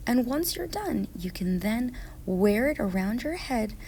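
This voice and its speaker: A woman narrating step-by-step craft instructions for children in a measured, scripted way. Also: on the high side, sing-song, mellow, slight background noise.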